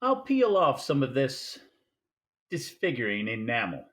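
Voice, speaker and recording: An actor playing a courtly, scheming older crime boss in a 1940s-style radio noir, with pompous, wordy politeness. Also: male voice, medium pitch, wildly swooping pitch, a little slow, fairly bright tone. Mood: eager, grandiose. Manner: pompous, florid, mannered politeness. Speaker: stage actor in a radio play.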